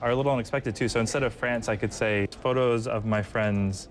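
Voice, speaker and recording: A man's voice: mid-range voice, fairly even intonation, brisk, a little hiss or hum. A man giving a casual, step-by-step product explanation in an interview. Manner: informal, explains step by step with examples. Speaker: product manager being interviewed.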